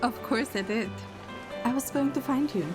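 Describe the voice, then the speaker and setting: A woman's voice: high-pitched, moderate pace, natural voice. A woman playing a playful, teasing young heroine who narrates her story in an audio drama, in the first person. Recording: music under the voice. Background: background music.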